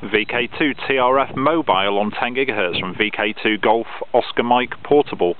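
A man's voice: mid-range voice, moderate pace. A male amateur radio operator chatting over a contact outdoors, reading out call signs in a procedural way. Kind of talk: ham radio contact chat. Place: outdoors on open grassy hilltop. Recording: narrow phone-like sound with radio noise.

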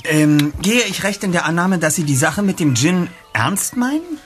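A pompous young sleuth who leads his team in a radio play. He is precocious and formal, with a raised, lively pitch, over clearly audible music. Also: moderate pace. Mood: polite, businesslike. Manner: precocious, formal, long-winded wording. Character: brainy, pompous young male detective, the trio's leader. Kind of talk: German audio drama acting.

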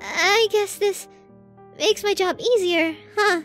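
A woman's voice: very high voice, slow pace, a bit bright, soft background music, exaggerated voice. A woman playing a sweet, shy, lovestruck student girl with a feisty streak in an anime ASMR roleplay. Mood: flustered, tender. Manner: bashful, hesitant, flustered.

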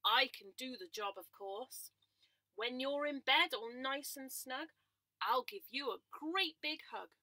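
Woman doing a big hearty horse lady, loud and confident, slow. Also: high voice, no room sound, pitched above the performer's own voice, heavily acted. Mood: hearty boastful.

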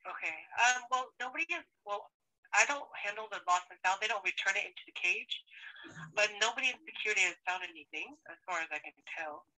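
A woman's voice: mid-range voice, expressive, fast talker, pushed and bright, rough recording. A woman taking a customer service call, polite and a little hesitant, heard over a phone. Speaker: casino phone operator.

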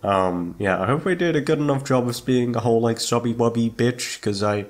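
A man's voice: mid-range voice, brisk, soft background music. A young man in casual unscripted chat to listeners, rambling and self-deprecating, with loose swearing. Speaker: audio roleplay voice actor.

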